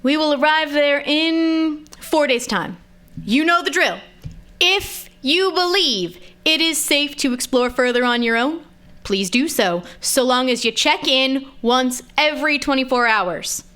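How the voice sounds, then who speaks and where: A woman playing a composed, commanding space admiral in an audio drama, with a measured, authoritative and formal delivery. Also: high-pitched, lively intonation, fairly bright tone. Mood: brisk, commanding.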